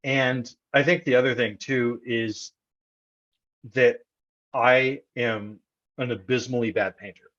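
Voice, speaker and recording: An American man from Texas answers interview questions in a casual, conversational way. His voice sits at a medium pitch. He speaks haltingly, doubling back on words as he works out a thought. The recording is somewhat dull and lo-fi. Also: fairly even intonation, a bit bright. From Texas, United States. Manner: halting, repeats words as he thinks aloud. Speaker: interview guest, poet.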